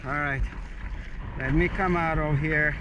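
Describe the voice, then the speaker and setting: A man fishing from a kayak, giving excited casual commentary to viewers, recorded on a head-mounted action camera outdoors on the water. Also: fairly high pitch, a little slow. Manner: excited, talks to viewers in short bursts. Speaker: fishing vlogger. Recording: faint wind and water noise outdoors.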